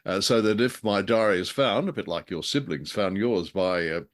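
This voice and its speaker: A man's voice: on the low side, moderate pace. A man with an Australian accent co-hosting a show in casual, unscripted chat, with a jokey, dry way of telling things. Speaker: podcast co-host.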